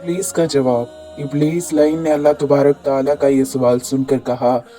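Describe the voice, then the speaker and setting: Hoarse man narrating a religious story. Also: mid-range voice, steady tone, normal speed, dark tone, faint music behind.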